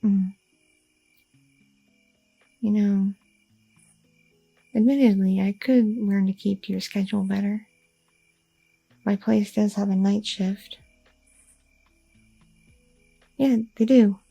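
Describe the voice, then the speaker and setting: Woman acting an anxious, sweet goth girlfriend, softly creaky. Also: medium pitch, steady tone, slow pace, long pauses, faint music behind, exaggerated voice, rough recording. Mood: tender, hopeful. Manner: hesitant, stammering, self-interrupting, repeating words. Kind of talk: ASMR audio roleplay.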